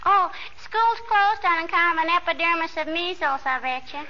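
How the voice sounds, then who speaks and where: A woman's voice: very high voice, normal speed, bright, forceful tone. A woman playing a pert, inquisitive, literal-minded little neighbor girl in an old radio comedy. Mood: pert, curious. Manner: cheeky, literal-minded, repeats a pet phrase. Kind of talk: 1940s radio comedy acting. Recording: muffled old radio recording, faint noise.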